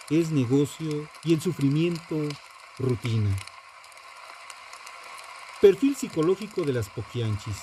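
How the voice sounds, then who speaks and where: A true-crime narrator with a dark, mellow voice at a middling pitch. The delivery is slow and dramatic, breaking the script into short, weighted phrases. There is some noise behind the voice. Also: rough recording.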